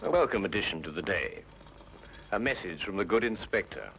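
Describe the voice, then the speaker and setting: A man's voice: low voice, expressive, brisk, a bit bright, slight background noise. An English actor playing a confident, nonchalant male detective with dry wit in an old-time radio drama. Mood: dry, wry. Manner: nonchalant, deductive, dryly witty. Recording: dull old radio recording, music behind.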